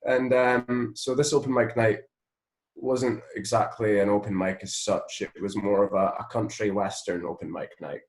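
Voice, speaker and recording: A Scottish man, a musician being interviewed, telling anecdotes casually in a rambling, animated way. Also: lowish voice, moderate pace, roomy sound, low-quality recording. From Scotland.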